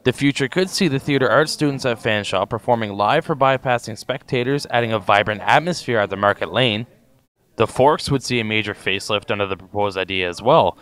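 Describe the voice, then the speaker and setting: A man's voice: on the low side, fairly quick, a bit bright. A man reading scripted narration as the reporter of a short documentary.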